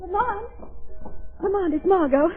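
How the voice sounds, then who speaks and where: Brief: a sleuth's loyal companion, high voice, earnest and anxious, radio mystery, thin phone-like sound. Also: female voice, expressive, measured pace, faint music behind. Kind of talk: 1930s radio acting. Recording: phone-like vintage radio recording.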